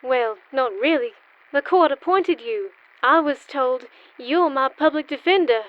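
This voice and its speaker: A woman plays a polite, anxious defendant in an old-fashioned radio drama. Her high voice is proper and worried, heard through a slightly dull lo-fi recording. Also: measured pace. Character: anxious, polite lady accused of murder. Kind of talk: old-time radio play acting.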